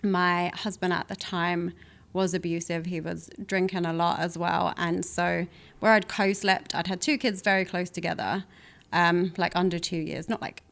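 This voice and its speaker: A woman's voice: fairly low pitch, flat delivery, normal speed, vocal fry, a bit bright. A woman in her thirties talking candidly as a podcast guest, reflective and chatty, often laughing. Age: 30s. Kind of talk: candid conversational interview.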